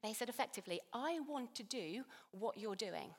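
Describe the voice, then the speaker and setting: A woman from England preaching a sermon in a chatty, self-deprecating way, her voice a little hoarse. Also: a little high, lively intonation, normal speed. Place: church hall. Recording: long church reverb.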